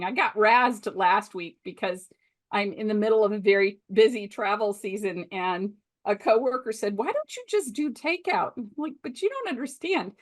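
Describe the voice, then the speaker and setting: Upbeat middle-aged woman hosting a show. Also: mid-range voice. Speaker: host. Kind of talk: hosting an interview.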